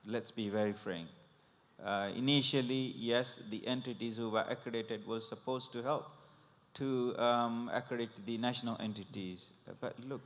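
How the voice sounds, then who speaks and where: A man in the audience asking a long question to the panel, halting and full of fillers as he searches for words. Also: medium pitch. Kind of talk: question from the floor. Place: conference hall. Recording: long reverb in a hall.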